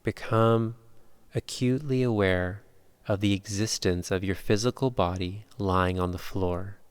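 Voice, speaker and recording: A man leading a guided yoga nidra meditation in calm, measured, unhurried instructions with long pauses. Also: fairly low pitch, clean tone, dry recording.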